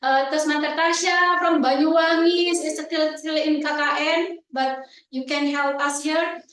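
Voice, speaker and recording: Host, female, high and chatty, distant echoey room sound. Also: fairly even intonation, a little slow, a bit bright, a little hiss or hum. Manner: thanks guests by name, loose and chatty. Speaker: meeting host. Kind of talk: closing remarks at a club meeting. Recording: echoey room, far from the mic.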